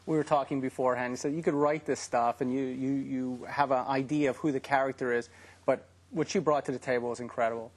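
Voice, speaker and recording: A man, a television writer and producer, answering interview questions eagerly with halting restarts. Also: mid-range voice, steady tone, slightly rough.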